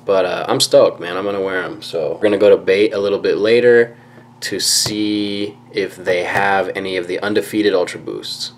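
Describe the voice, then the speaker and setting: A man's voice: sits low, fairly even intonation, normal speed. Laid-back young man vlogging. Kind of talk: casual vlog talk to camera. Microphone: camera at arm's length. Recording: noisy camera audio.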